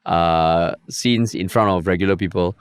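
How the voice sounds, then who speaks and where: A man's voice: sits low, moderate pace. A young Singaporean man co-hosting a podcast, talking in an easygoing way with "like" and "lah.". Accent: Singaporean English. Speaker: podcast co-host. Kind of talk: casual chat.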